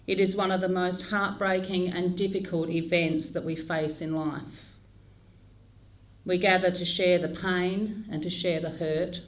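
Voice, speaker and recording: Slow, low-voiced woman celebrant. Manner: slow, measured and solemn. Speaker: funeral celebrant. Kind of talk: funeral service address.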